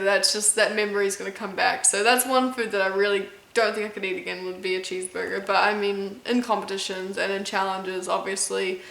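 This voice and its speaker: Brief: female vlogger with a blend of New Zealand and American accent. Mid-pitched, chatty, lots of "um"s. Recorded in a room with clear echo. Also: fairly bright tone. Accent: mix of New Zealand and American. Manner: chatty, stops often with "um" and stumbles over names. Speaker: competitive eater and YouTuber. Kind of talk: casual Q&A vlog.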